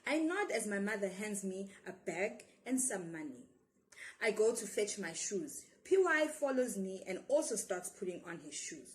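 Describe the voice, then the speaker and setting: A woman's voice: mid-range voice, sing-song, normal speed. A woman with a South African accent reads a children's story expressively as a storyteller.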